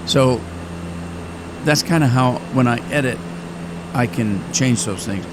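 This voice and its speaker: An older man talking casually while playing a game, rambling with pauses and restarts. He says his voice has grown deeper and cracks more with age. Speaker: gaming channel host. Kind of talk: casual gameplay commentary. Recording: engine noise behind the voice. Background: flight simulator engine noise.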